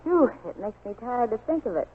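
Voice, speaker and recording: A woman's voice: a little high, very animated, fairly quick, husky. A young woman as an interview guest giving a scripted testimonial on 1940s radio. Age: young adult. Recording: tinny, lo-fi 1940s radio recording.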